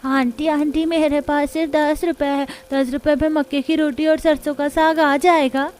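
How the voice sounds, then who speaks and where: High-voiced pleading child played by a woman. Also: little pitch movement, moderate pace, darkish tone, lightly acted. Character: poor hungry young child pleading for cheap food. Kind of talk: Hindi cartoon story acting.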